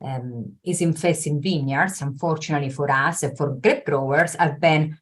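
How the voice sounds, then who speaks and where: A middle-aged woman with an Italian accent, a researcher answering interview questions in a steady explanatory way, with frequent fillers. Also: low voice, normal speed. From Italy. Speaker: viticulture researcher guest.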